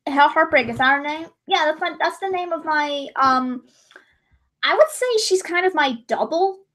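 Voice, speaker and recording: A woman's voice: high voice, moderate pace, bright, forceful tone. A young woman chatting casually while drawing on a livestream, rambling and correcting herself, her voice a little strained. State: voice gone high and strained.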